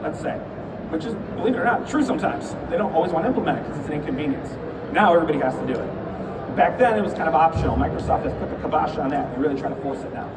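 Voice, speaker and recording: A man giving a conference session, telling stories in a casual way with many "right?" and "okay?" asides, clearing his throat as he loses his voice a little. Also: fairly high pitch. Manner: conversational storytelling, frequent "right?" and "okay?". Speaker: conference presenter. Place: conference hall. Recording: echoey hall, mic far off, loud background noise.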